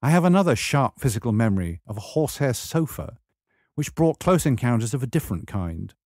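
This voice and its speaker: A man reading a scripted memoir as a wry, measured storyteller. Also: mid-range voice, big pitch swings, darkish tone, no echo, clean studio sound. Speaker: memoir reader.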